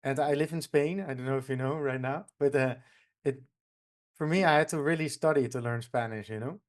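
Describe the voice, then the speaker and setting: A man's voice: mid-range voice, brisk. A man, chatting casually as a language teacher in an agreeable, encouraging way. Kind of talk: casual chat.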